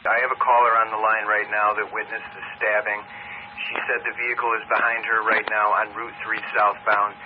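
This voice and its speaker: A muffled, narrow police radio feed with faint noise carries a man's fairly low voice. He relays reports in procedural dispatch language. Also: level tone, brisk.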